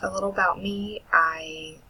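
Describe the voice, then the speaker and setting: An American woman in her twenties with a breathy, husky voice, casually introducing herself as a student. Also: medium pitch, fairly even intonation, normal speed, bright, forceful tone, poor audio. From United States. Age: 20s.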